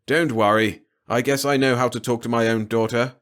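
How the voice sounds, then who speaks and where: A man's voice: mid-range voice, steady tone, moderate pace, fairly bright tone, lightly acted. A man voicing an exasperated, protective middle-aged father in a storybook, speaking with emphatic indignation.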